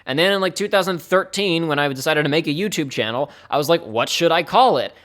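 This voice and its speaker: High-voiced young man rambling on stream. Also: animated, quick pace, a bit bright. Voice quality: fairly high-pitched voice. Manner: rambling, candid, speeds up and trails off. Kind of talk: casual live-stream talk to chat.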